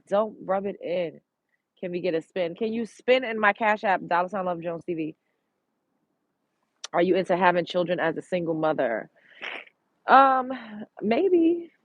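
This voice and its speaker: A congested woman hosts a live stream from a street, talking bluntly and swearing freely as she answers viewers. Her voice comes through a phone with a dull, dry sound. The talk is chatty and quick to vent. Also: on the low side, dry recording. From Brooklyn, New York. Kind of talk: casual live-stream chat, reading viewer comments. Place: outdoors on a street.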